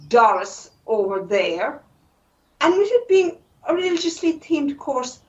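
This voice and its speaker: A woman playing a wry, animated Irish storyteller in a comic radio play. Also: high-pitched. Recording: muffled, roomy, distant microphone.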